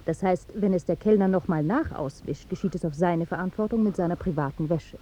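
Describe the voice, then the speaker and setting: Café cashier played by an actress: candid, pedantic, matter-of-fact, darkish mellow tone, vintage radio play. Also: female voice, lowish voice, brisk, a little hiss or hum, natural voice, low-quality recording. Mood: pedantic, correcting. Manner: precise, officious, matter-of-fact. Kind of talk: 1950s German radio drama acting.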